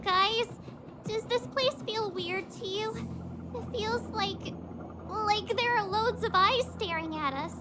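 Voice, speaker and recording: An actress playing a perky, excitable, naive young girl adventurer in a video game. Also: extremely high pitch, takes it slowly, background noise. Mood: uneasy. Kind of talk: English game voice acting, cutscene dialogue. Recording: music behind the voice.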